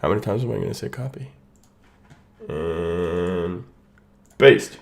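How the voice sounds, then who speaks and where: A man's voice: sits low, lively intonation, takes it slowly, gravelly. A man hosting a live stream, talking casually to his chat and greeting people by name while he sets up, with a voice congested from a sinus infection. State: sick, congested voice. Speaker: streamer hosting a watch party. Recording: echo from unmuted stream audio.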